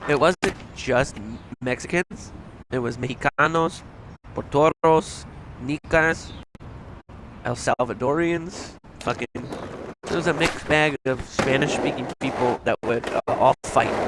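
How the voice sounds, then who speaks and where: A young man, from the suburbs, rambling crudely and profanely while streaming a game. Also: medium pitch. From Chicago suburbs, USA. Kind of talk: casual rambling chat while gaming. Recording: loud background noise. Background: game sounds.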